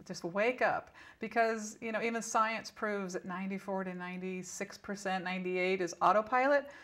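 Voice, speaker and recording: A woman's voice: mid-range voice, moderate pace, fairly bright tone. A woman speaking as an interview guest and spiritual teacher, explaining conversationally in an instructive, emphatic manner.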